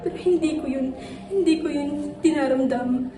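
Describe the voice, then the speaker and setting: A young woman playing a halting, despairing student. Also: high voice, natural voice. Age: young adult. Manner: halting, repeats her words, trails off. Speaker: student actor. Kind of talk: stage monologue. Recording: echoey room, distant mic, music.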